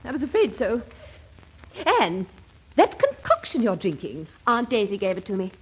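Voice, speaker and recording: A woman's voice: mid-range voice, huge pitch swings, moderate pace, breathy edge, rough recording. An actress playing a fretful, genteel middle-aged mother in a 1950s radio comedy, anxious and hesitant in manner. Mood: worried. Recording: 1950s radio play recording.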